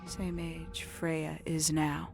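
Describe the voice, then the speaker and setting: Over background music comes a low-pitched woman's voice, secretive and brooding. She unspools her tale deliberately, with theatrical pauses, like a mystery game character guarding a family secret. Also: level tone, gravelly, darkish tone, heavily acted. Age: adult, older than nineteen. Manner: measured, deliberate, theatrical storytelling with dramatic pauses. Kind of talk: video game voice acting, dramatic monologue. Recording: background music under the dialogue.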